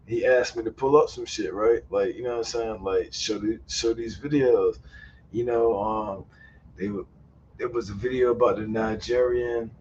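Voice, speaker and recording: A man's voice: on the low side, steady tone, moderate pace, a little mellow. A man hosting a live stream who talks in a loose, profane, storytelling way and laughs often. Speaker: YouTuber and stream host. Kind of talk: casual chat on a live stream. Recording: muffled sound with faint ambient noise.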